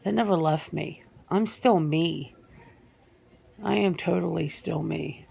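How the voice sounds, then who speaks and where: Woman doing a sentimental lady werewolf in an asmr interview, low slow voice, halting, bit reluctant. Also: vocal fry, dark tone, slight background noise, heavily acted. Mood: troubled, distressed. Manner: hesitant, halting, with repeated words. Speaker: ASMR roleplay performer. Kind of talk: soft-spoken ASMR roleplay interview.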